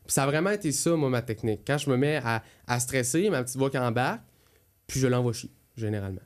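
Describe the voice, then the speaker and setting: A man's voice: medium pitch, quick pace. A man with a Quebec French accent co-hosting a podcast, talking casually and candidly about himself in colloquial words. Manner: candid, colloquial, acts out inner thoughts. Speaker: podcast co-host. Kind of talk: casual conversational personal testimony.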